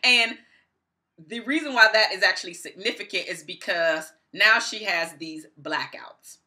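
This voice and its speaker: Emphatic woman with a very bright voice. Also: mid-range voice, a little slow. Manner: emphatic, repeats phrases for stress. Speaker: book reviewer, vlogger. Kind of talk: casual review talk to camera. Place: small indoor room at home.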